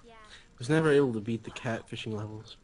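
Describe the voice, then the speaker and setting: A man's voice: mid-range voice, quick pace, darkish tone, low-quality recording. A man chatting casually and wryly as a joking co-commentator on a gaming show. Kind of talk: casual chat.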